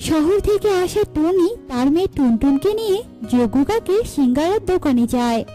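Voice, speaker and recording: A woman's voice: sits high, expressive, moderate pace, dark tone, soft background music. A woman narrator reading a scripted children's cartoon story.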